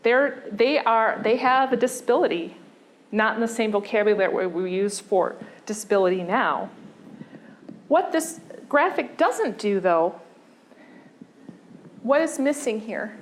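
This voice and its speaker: A woman giving a conversational design lecture, pausing often with fillers and restarting her sentences. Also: a little high, a little hiss or hum.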